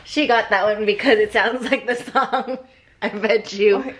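Unhurried, higher-voiced young woman vlogging. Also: fairly even intonation, a little hiss or hum. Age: young adult. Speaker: vlogger and mother. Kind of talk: casual family vlog chat. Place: at home, in a car and in a busy restaurant. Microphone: handheld camera at arm's length.

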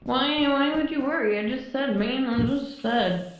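A woman's voice: sits high, a little slow, a crowd in the background, rough recording. A woman chatting casually and reassuring friends while playing a game. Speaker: co-op player. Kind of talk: casual gaming chat. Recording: game stream with faint music.

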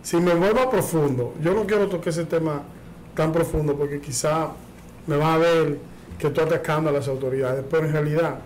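A man's voice: fairly high pitch, brisk, a little mellow, slight background noise, low-quality recording. A man on a studio talk panel, arguing insistently against another panelist. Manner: insistent, argumentative. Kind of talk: studio debate.

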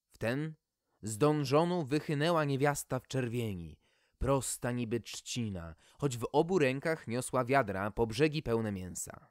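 Slow-paced male fairy-tale storyteller. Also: mid-range voice, no room sound, studio quality. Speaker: storyteller, audiobook reader. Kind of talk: expressive scripted fairy-tale narration.

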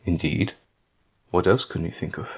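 A man playing a patient, gentle older king in an audio drama, with deliberate, formal diction. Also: deep voice, creaky, breathy edge, heavily acted. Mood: fond, thoughtful.

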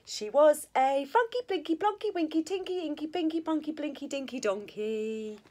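A middle-aged woman reading a rhyming children's picture book aloud with an expressive, sing-song delivery. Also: sits high, moderate pace. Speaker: school staff storyteller. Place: small school office or staff room, indoors. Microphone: phone or tablet at arm's length.

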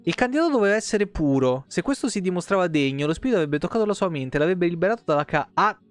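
A man in his thirties with a Roman Italian accent and a fairly high voice. He reads fantasy game lore aloud and slips into informal, expressive asides. Music plays softly behind him as he streams. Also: fast talker. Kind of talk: reading game lore aloud with casual live commentary. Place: indoor room, home streaming setup. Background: background music with guitar.

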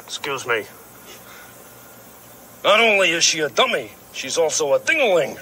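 A man's voice: a little high, big pitch swings, moderate pace, bright, forceful tone, slightly rough, exaggerated voice. A man voicing a nasty, blustering dragon in a children's puppet storybook skit, with a theatrical villainous delivery. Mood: scornful, indignant. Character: dangerous, nasty male dragon, blustering and sneaky.

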